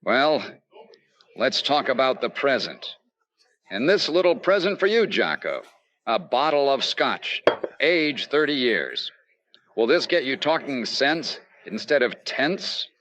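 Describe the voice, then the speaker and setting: An actor playing an earnest, dogged investigator in an old-time radio detective drama, urgent and no-nonsense in dialogue and narration. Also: male voice, mid-range voice, big pitch swings, slow, fairly bright tone, rough recording. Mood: pressing, impatient. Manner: hard-boiled, urgent, no-nonsense. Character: earnest, dogged male insurance investigator in a noir detective serial.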